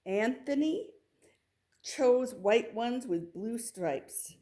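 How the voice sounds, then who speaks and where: A woman reading a children's picture book aloud, with expressive delivery and little asides to the listener. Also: on the high side, drawn-out words. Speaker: storybook reader.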